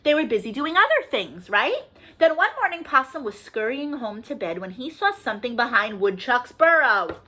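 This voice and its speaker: A woman leading a children's storytime, reading aloud in an animated, sing-song way and checking in with young listeners through questions. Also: fairly high pitch, normal speed. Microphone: video call.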